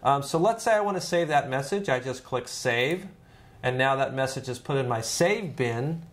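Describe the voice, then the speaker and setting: A man narrating an informal step-by-step software tutorial in a conversational, demonstrative way, as a trainer would. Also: mid-range voice, lively intonation, moderate pace.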